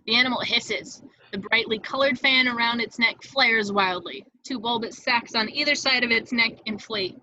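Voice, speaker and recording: A woman's voice: fairly high pitch, normal speed, bright, forceful tone, no room sound, muffled recording. A woman reading scripted stage directions as the narrator of a table read, in a vivid storytelling manner.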